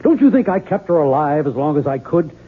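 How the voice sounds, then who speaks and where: An actor playing an intense, tormented American novelist in a 1970s radio drama, speaking with fervent earnestness. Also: male voice, fairly high pitch, very animated, fairly quick, slightly rough, phone-quality sound. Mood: desperate, insistent. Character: tormented, intense struggling male novelist, obsessed and pleading.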